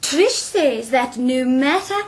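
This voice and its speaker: An actress playing a young woman who puts on stilted posh speech, slow, over-enunciated and almost robotic, in a radio drama. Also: sits high, animated, slightly rough, full character voice. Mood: affected, prim.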